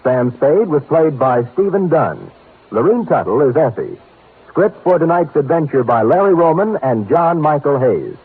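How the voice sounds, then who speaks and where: A man's voice: fairly high pitch, sing-song, moderate pace, slightly rough, full character voice. A man announcing promos and credits in a polished, formal 1950s radio style. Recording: tinny old 1950s radio recording.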